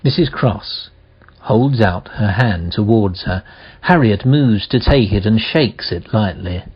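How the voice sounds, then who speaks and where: A man narrating a radio play as a deliberate, musing storyteller, with rhetorical pauses and questions. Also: lowish voice, lively intonation, slightly rough, full character voice. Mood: musing, measured. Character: measured, musing male storyteller of a mystery tale. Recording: slightly dull audio with faint music.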